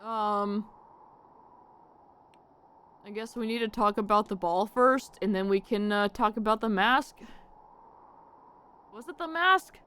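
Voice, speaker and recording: A woman's voice: medium pitch, takes it slowly, long pauses, a bit bright, clean tone. A woman live-streaming a game, musing aloud to herself and reading out dialogue as she plays. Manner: muses aloud to herself while playing. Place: indoors, live stream setup.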